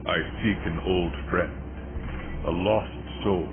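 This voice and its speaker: A man's voice: low-pitched, slow, over music. A man playing a stalwart veteran angel warrior in a video game, firm, courteous and soldierly. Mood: steady, respectful. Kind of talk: video game cutscene acting. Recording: noisy, tinny game audio.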